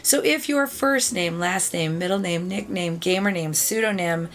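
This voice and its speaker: A middle-aged woman hosting a video game channel, chatting casually to viewers with a raspy, sore throat after being ill. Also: on the low side, normal speed. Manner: chatty, upbeat, thanks viewers often.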